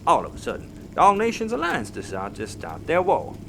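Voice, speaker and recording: A man's voice: mid-range voice, huge pitch swings, fairly quick, gravelly, pushed and bright, slight background noise, heavily acted. A man playing a folksy, proud Texan father and space settler in a sci-fi audio comedy. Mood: folksy storytelling.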